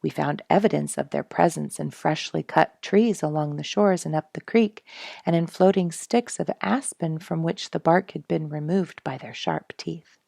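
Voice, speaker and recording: A woman storyteller reading a nature memoir aloud in an expressive style for a family audience, putting dramatic emphasis on words. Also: deep voice, moderate pace, gravelly, no room sound, studio quality.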